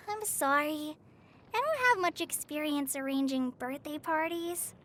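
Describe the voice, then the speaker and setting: A woman's voice: high voice, a little slow, bright, forceful tone. An actress playing a shy, earnest teenage girl forest ranger trainee in a video game, hesitant and easily flustered. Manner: hesitant, stammering, apologetic. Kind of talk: video game character voice lines. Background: background music.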